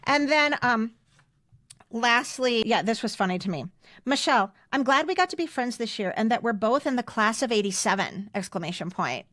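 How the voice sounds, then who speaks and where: A woman's voice: fairly high pitch, animated, moderate pace, a bit bright, dry recording, clean studio sound. An American woman in her fifties chatting casually as a podcast host, reading aloud and explaining things in asides. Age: 50s. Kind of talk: casual chat, reading old yearbook messages aloud.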